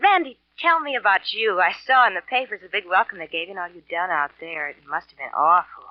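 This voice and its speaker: A woman's voice: fairly low pitch, brisk, no echo, close to an everyday voice. An actress playing a greedy, scheming married woman in a 1940s radio melodrama, with a gushing, pleading, emotional manner. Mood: casual, coy. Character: greedy, scheming married woman, breathlessly adoring her lover. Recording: dull, dry vintage radio recording.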